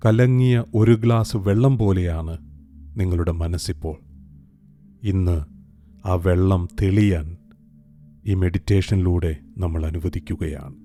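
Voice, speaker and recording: A man leads a guided meditation in a very low, mellow voice, speaking slowly with long pauses between soft instructions. Gentle music and a singing bowl sit faintly behind him.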